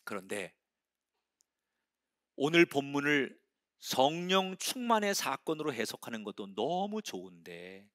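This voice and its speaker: A middle-aged male pastor preaching a sermon, telling the story dramatically and swinging between hushed whispers and loud exclamations. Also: medium pitch, sing-song, a little slow, pauses often.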